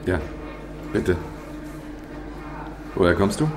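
An actor playing a pompous, blunt middle-aged publishing boss in a radio play. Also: male voice, deep voice, lively intonation, slow, background noise. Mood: probing. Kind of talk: German radio play dialogue. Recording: music behind the voice. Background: music.

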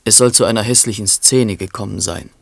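A man's voice: lowish voice, steady tone, brisk, creaky, no echo. A man playing a dry, knowing chronicler in a literary radio play, narrating tersely with faint irony. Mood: sober, ironic. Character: dry, knowing male chronicler of a poet's life.

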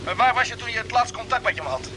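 High-pitched, brisk expedition captain. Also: male voice, expressive. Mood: urgent. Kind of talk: vintage Dutch radio drama acting. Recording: noisy old radio play recording.

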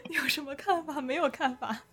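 Casting: female drama director with a fairly high voice, chatting off the cuff, halting, with repeated words. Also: lively intonation, a bit bright. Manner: hesitant, often repeating words mid-phrase. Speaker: audio drama director being interviewed. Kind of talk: unscripted casual interview chat.

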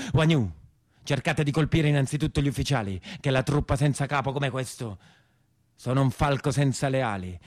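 An actor playing an embittered imprisoned peasant brigand in a theatrical monologue, speaking emphatic, repetitive dialect with a southern Italian accent. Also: male voice, medium pitch, no echo, clean studio sound, lightly acted. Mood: fierce, commanding.

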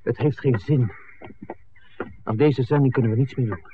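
A man's voice: medium pitch, moderate pace, close to an everyday voice. An actor playing a hesitant, thoughtful man torn between duty and affection in a 1960s Dutch radio drama. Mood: torn, apologetic. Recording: muffled, noisy old radio drama recording.

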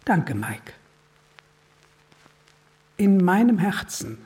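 An actress playing a mourning admirer giving an emotional farewell to a dead singer in a radio play. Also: female voice, lowish voice, very animated, very slow, dark tone, distant mic, full character voice. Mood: grieving, grateful.

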